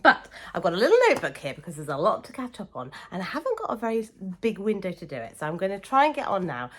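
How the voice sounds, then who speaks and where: Croaky, chatty woman. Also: mid-range voice, wildly swooping pitch, quick pace. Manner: chatty and gushing, warm praise for friends. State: croaky from a cold. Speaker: sewing vlogger. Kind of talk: casual chat to camera.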